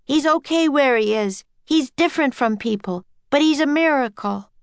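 A woman's voice: high-pitched, lively intonation, normal speed, no room sound, pitched above the performer's own voice. A woman voicing an earnest, desperate boy who pleads bravely in a storybook reading.

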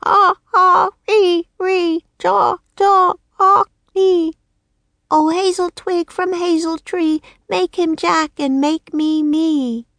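A woman voicing a child who chants a spell with deep croaking sounds. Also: fairly even intonation, takes it slowly, a bit bright, dry recording, exaggerated voice. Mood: chanting. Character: boy casting a spell in a chant.

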